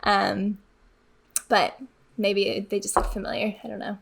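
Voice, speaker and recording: Female co-host for a chat podcast, average pitch, relaxed wording, informal and conversational. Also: a little slow, creaky, crowd noise. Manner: informal, uses "like" often. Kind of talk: casual chat.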